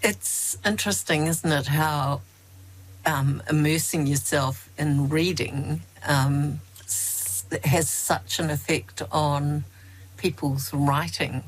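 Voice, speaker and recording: A woman hosting an interview, asking slow, thoughtful questions with trailing phrasing. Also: low-pitched, fairly even intonation, low-quality recording. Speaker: interview host.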